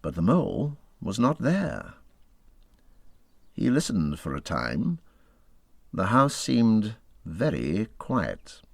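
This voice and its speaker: A man reading a classic children's animal story as scripted narration, in a dramatic, expressive style that moves between hushed and urgent. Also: lowish voice, takes it slowly, vocal fry, long pauses, dry recording, studio quality. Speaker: audiobook reader.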